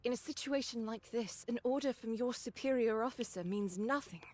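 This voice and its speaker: A woman voicing a loyal, resolute woman fighter in a game, measured, frank and a little dry. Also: on the high side, a little mellow, faint music behind, natural voice. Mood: supportive. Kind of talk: scripted game voice acting.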